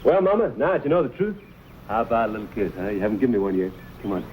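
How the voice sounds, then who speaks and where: An actor playing an easygoing, confident frontier knife fighter in an old-time western drama, folksy and wry. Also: male voice, lowish voice, sing-song, very fast. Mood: teasing. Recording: muffled, hissy old radio-era recording.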